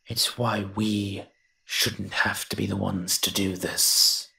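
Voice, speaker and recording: A low, bright-edged male monster, as a man acts him out in an audio roleplay. He grumbles in a sardonic, even-pitched delivery, reluctant on the surface and secretly soft-hearted underneath. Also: takes it slowly, vocal fry, full character voice. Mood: grumbling, reluctant. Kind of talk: scripted character monologue, audio roleplay.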